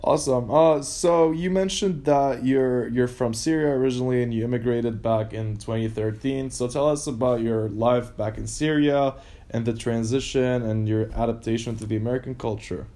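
Mellow-voiced man asking questions. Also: medium pitch, fairly even intonation, moderate pace. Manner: loose, filler-laden questions. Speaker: interviewer. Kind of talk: casual interview questions.

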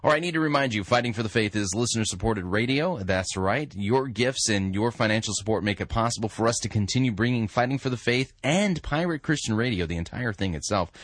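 Energetic American man hosting, dry close sound. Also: fairly low pitch, fast, few pauses, no room sound. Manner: energetic, rambling, self-interrupting. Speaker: radio show host. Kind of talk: casual listener-supported radio talk.

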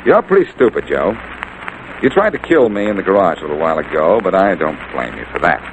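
A cool, self-assured sleuth from a 1940s radio mystery. His low voice swings freely as he needles and wisecracks. The old broadcast recording is plainly noisy. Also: big pitch swings, brisk, lo-fi sound. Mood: accusing, wry. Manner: wry, confident, needling. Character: cool, wisecracking amateur detective, sure of himself. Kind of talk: 1940s radio detective drama acting.

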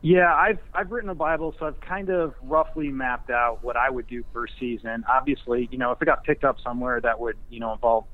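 A man's voice: medium pitch, fairly even intonation, a little fast, few pauses. Man on a tinny phone-like line. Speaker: screenwriter guest. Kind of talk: casual interview conversation.

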